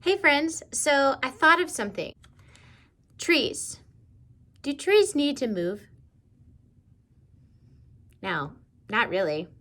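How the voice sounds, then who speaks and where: A woman's voice: high voice, sing-song, slow, long pauses, sharp tone. A woman giving a step-by-step how-to demonstration for children, talking simply like a teacher and often checking in with little questions. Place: indoors at home.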